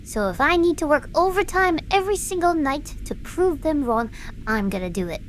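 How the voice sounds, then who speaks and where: A woman's voice: high voice, big pitch swings, normal speed, a little hiss or hum. A woman playing a defiant young performer in an audio drama, intense, stubborn and earnest. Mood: frustrated, defiant. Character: driven, defiant young woman performer, bitter but passionate.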